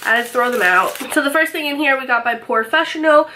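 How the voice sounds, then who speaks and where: A young woman vlogging from her bedroom, chatty and upbeat, her voice a little raspy from a cold. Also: sits high, fast, pushed and bright, a little hiss or hum, poor audio. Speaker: beauty vlogger. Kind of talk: casual unboxing chat. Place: bedroom, indoors.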